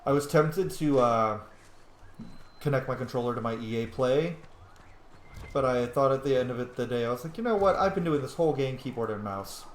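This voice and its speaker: A man's voice: medium pitch, lively intonation, fairly quick, echoey room, a little hiss or hum. A man giving casual, rambling let's play commentary as he games, with informal language and some swearing. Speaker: gaming video host.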